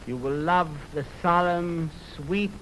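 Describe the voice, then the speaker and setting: A man announcing classical music on 1920s radio, speaking in a solemn, formal, measured way on an old aircheck recording. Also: a little high, fairly bright tone. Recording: noisy 1929 film-recorded radio aircheck.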